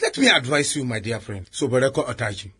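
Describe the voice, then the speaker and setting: An actor with a Nigerian accent playing a cynical, patronising senior police chief in a radio drama, theatrical and full of proverbs. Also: male voice, mid-range voice, big pitch swings, fast, bright, forceful tone, slightly rough, full character voice. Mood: dismissive.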